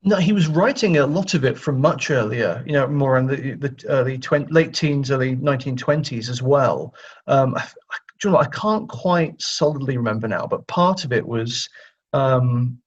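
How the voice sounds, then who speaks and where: Husky-voiced man, slightly muffled recording. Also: medium pitch, normal speed. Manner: chatty, thinking aloud with frequent self-corrections. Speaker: interview guest, audio drama writer. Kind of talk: casual conversational interview answers.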